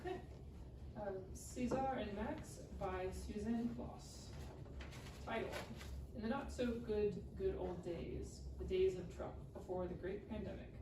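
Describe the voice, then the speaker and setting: A woman's voice: mid-range voice, steady tone, measured pace. A woman reading scripted scene directions aloud in clipped phrases at a live table read. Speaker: stage-direction reader. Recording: echoey room, distant mic, background noise.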